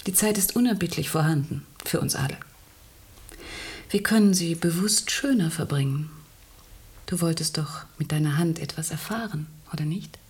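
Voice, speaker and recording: A woman's voice: low-pitched, moderate pace, a little mellow, close to an everyday voice. An actress playing a weary, exasperated woman impatient with her brooding partner in a German radio play.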